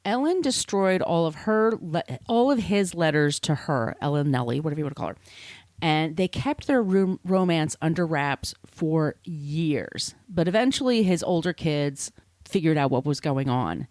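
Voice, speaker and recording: A woman's voice: low voice, lively intonation, normal speed, a little mellow, no echo. A woman hosting a podcast, telling literary history conversationally in an informal, explanatory way with asides. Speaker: podcast host.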